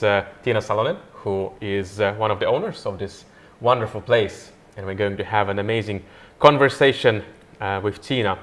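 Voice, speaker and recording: A man's voice: on the low side, fairly bright tone. A man hosting a live podcast interview outdoors by a river, talking in a friendly, enthusiastic way with frequent fillers. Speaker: podcast host.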